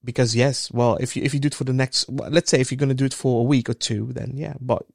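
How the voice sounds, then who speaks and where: A man from the Netherlands, hosting a casual interview in a halting way, often repeating and restarting his phrases. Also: mid-range voice, fairly even intonation, gravelly, darkish tone, dry recording, clean studio sound. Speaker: interview host.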